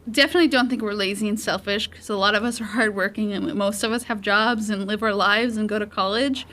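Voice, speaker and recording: Girl in her 20s answering interview questions casually, medium pitch. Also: fairly even intonation, fast talker, pushed and bright.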